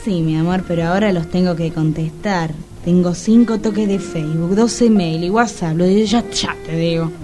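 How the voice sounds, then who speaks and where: A woman's voice: lowish voice, normal speed, hardly any pauses, over music, close to an everyday voice. Woman doing a phone-obsessed woman. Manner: excitable, chattering.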